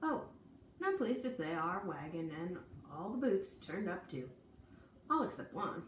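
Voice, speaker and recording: A woman reading a children's picture book aloud in an expressive, folksy, dramatic storytelling manner. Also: fairly low pitch, a little slow. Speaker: storybook reader. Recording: echoey room, distant mic, faint noise.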